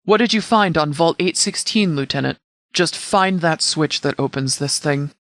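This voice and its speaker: A man voicing a stern, commanding sheriff who leads a wasteland militia in a video game, speaking in a formal, measured, authoritative way. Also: fairly high pitch, fairly bright tone, no echo. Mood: briskly instructing. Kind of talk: scripted video game dialogue.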